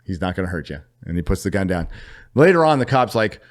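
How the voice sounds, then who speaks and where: A middle-aged man from California telling a story as a podcast guest, animated and profane, laughing as he goes. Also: sits low, fast talker. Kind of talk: casual storytelling.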